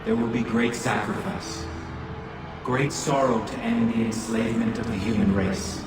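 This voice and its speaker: A man's voice: mid-range voice, expressive, moderate pace, lightly acted. An actor playing a solemn male rebel with a grave, portentous delivery in a dramatic production. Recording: very echoey, distant, loud music.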